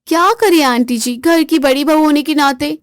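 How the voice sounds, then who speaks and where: A woman plays a boastful, sharp-tongued young wife in a storybook tale, her voice pitched high above the narration. She sounds taunting and frazzled by turns, and the recording is close and dry. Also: normal speed, dry recording, natural voice. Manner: taunting, then shrill and frazzled.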